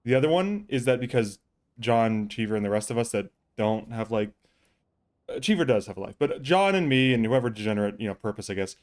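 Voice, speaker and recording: A man's voice: mid-range voice, expressive, a little fast, darkish tone. A man hosting a live gaming stream, rambling through casual chat with friends and swearing freely as he thinks aloud. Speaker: streamer host.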